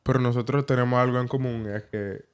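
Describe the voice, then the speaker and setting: A man's voice: mid-range voice, steady tone, a little fast, smooth. Young man hosting casual chat. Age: 20s. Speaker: podcast host steering the discussion.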